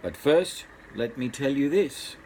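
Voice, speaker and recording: A man voicing a greedy, stern king in a storybook, giving orders in a deliberate, imperious way. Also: mid-range voice, lively intonation, a little mellow, slight background noise, natural voice. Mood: stern, threatening.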